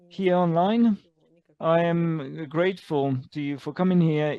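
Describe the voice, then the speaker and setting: A man interpreting an online lecture into English as it is given, halting with frequent fillers and uneven bursts of speed. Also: a little high, warm tone.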